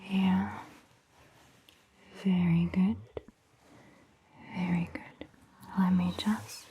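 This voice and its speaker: Slow, whispering woman, gentle ASMR doctor. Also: medium pitch, a little hiss or hum. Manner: soft whispering, gentle and reassuring. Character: calm, caring female vampire doctor. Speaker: ASMR creator playing a vampire doctor. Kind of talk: ASMR roleplay, whispered medical exam. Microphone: close ASMR microphone.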